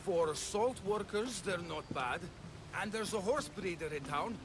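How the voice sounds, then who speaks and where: An actor playing the blunt, sardonic, exasperated leader of a threatened village in ancient Greece, in a video game cutscene. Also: male voice, high voice, expressive, measured pace, over music. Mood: sardonic, pragmatic.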